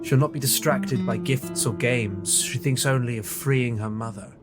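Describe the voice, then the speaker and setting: An actor playing an observant, wry Victorian detective in an audio drama, with a dry, precise, theatrical delivery. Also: male voice, medium pitch, steady tone, normal speed, over loud music, lightly acted. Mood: analytical, serious.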